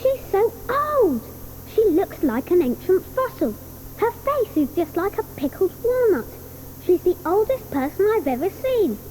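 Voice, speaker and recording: Actress as a kind young boy: eager and earnest, with a lively, bouncing delivery. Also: very high voice, a little slow, a little hiss or hum, full character voice, rough recording. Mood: anxious. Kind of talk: 1980s studio radio play acting.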